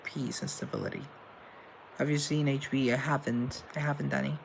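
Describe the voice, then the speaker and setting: Rambling young man with gravelly voice. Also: mid-range voice, steady tone, moderate pace, slight background noise. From Colombia. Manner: rambling, earnest, with self-deprecating asides. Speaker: live show host answering chat. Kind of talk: casual live-stream chat, reading comments aloud.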